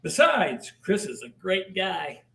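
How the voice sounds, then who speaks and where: A man's voice: high voice, very animated, takes it slowly, vocal fry, pushed and bright, heavily acted. A man playing a jovial, folksy, wisecracking small-town mail carrier in a table read of a comedy series. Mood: jovial, breezy. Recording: echoey room.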